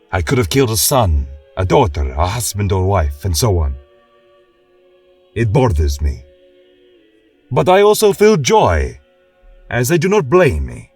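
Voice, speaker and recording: A man voicing a gentle, reflective horned and tailed war veteran, earnestly and thoughtfully reading out his fantasy journal. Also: on the low side, slightly rough, no echo, full character voice. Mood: hopeful. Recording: distant mic with music behind.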